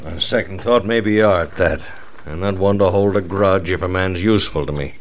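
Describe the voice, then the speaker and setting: Actor as bullying low-voiced western town boss. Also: male voice, brisk, dull audio, a little hiss or hum, lightly acted. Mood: gruff, suspicious. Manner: blunt, bullying, folksy western drawl. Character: domineering, menacing rancher and town boss. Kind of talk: 1960s western radio drama acting.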